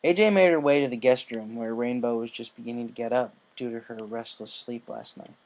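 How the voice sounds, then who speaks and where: A man's voice: mid-range voice, brisk, darkish tone, low-quality recording. A man reading a scripted story aloud as narrator, in audiobook style.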